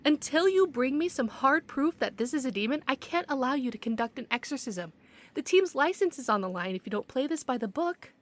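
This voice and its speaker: An actress playing a strict, skeptical supervisor in an audio drama, firm and officious. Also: female voice, sits high, lively intonation, fairly quick. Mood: stern, insistent.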